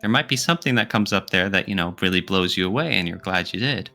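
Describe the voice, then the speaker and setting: A man co-hosting a podcast, chatting casually and informally about his opinions with animated reactions. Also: low voice, fast talker, faint music behind. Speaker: podcast co-host.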